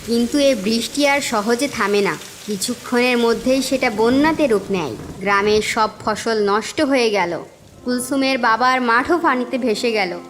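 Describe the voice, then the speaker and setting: A woman's voice: fairly high pitch, normal speed, a bit bright, slight background noise. A woman narrator reading a scripted story for a cartoon in a storytelling style. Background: music.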